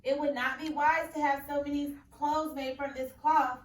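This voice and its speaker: Wise calm boy, as a teacher reads him. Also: high-pitched, normal speed, recorded from a distance, a little hiss or hum, voice pushed up, natural voice. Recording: echoey room, voice from off-mic.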